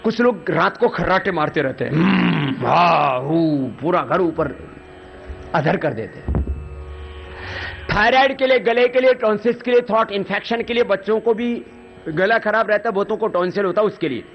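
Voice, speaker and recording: A man leading a yoga session for soldiers, demonstrating exercises with lively, folksy humor. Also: sits high, normal speed, creaky, muffled recording. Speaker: yoga teacher. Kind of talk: live yoga instruction to soldiers. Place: outdoor army yoga camp.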